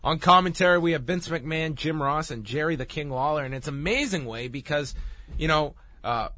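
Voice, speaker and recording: A man in his thirties talks through a wrestling event in a casual review. His tone is bright and dry, with no room around it. He runs through facts at a brisk clip while getting over a lost voice. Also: fairly high pitch, no room sound. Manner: brisk, rambling, lists facts. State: recovering from losing his voice. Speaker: podcast co-host reviewing wrestling.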